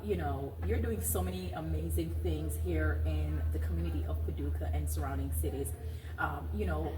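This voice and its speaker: A woman hosting a talk show in an announcing, presenter-like manner. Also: low voice. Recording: roomy echo, distant mic, clear background noise.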